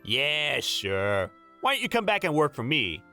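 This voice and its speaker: A man's voice: a little high, huge pitch swings, unhurried, pushed and bright, faint music behind, full character voice. An actor playing a smug, condescending male boss who bullies and mocks, in a manga dub. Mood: mocking. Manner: mocking, condescending. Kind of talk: anime-style manga dub acting.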